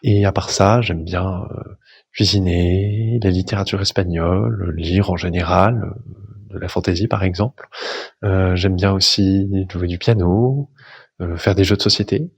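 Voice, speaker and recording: A man in his twenties calmly introducing himself and speaking about faith. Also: low-pitched, measured pace, gravelly, husky. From France. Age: 20s. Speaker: team member introducing himself. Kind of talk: prepared self-introduction.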